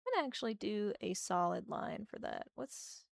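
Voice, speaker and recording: A woman with a slightly hoarse voice from a sore throat, chatting casually and thinking aloud while she draws on a livestream. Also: medium pitch, lively intonation, normal speed, no echo, studio quality. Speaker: art streamer.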